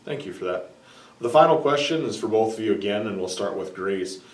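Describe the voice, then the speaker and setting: A man's voice: fairly low pitch, expressive, fairly quick. A male interviewer putting formal, prepared questions to guests. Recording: echoey room, distant mic.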